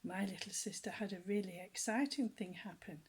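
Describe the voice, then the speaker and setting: An older woman reading a children's story aloud with gentle, lively storytelling, often dropping to soft whispers and asking her young listeners questions. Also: medium pitch, moderate pace, breathy edge.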